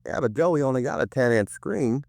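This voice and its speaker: A man's voice: medium pitch, animated, moderate pace, gravelly, darkish tone, dry recording, studio quality. An actor playing a chatty male police partner in a 1950s radio crime drama, griping in a homely, colloquial way. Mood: mildly grumbling. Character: chatty male police partner, mildly grumbling domestic storyteller.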